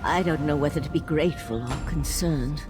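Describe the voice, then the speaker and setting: An actor playing a calm, earnest male mage of a secretive magic order, in a video game. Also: fairly high pitch, a little fast, loud music behind the voice, lightly acted.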